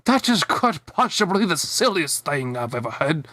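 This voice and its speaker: A man voicing an uppity nine-year-old asparagus boy in a high-pitched, pompous British voice for a cartoon fan fiction reading. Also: big pitch swings, normal speed, sharp tone, no echo. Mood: haughty. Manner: pompous, haughty.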